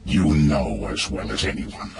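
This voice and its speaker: An actor playing a proud, wary god in a video game cutscene, speaking in a grave, formal, gravelly voice. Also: male voice, a bass voice, animated, measured pace, very noisy, full character voice. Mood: grave, reproving. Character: proud, wary male god, defiant and reasoning.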